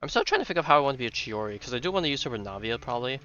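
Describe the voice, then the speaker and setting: A man's voice: medium pitch, sing-song, very fast, pushed and bright. A man streaming a video game, thinking aloud in casual commentary. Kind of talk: casual live gameplay commentary. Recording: slightly dull audio, game music behind.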